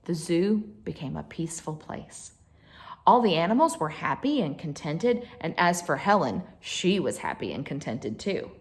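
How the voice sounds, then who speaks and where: A woman's voice: low-pitched, sing-song, normal speed. A woman reading a picture book aloud to children as a storyteller, expressively, with chatty asides to her listeners.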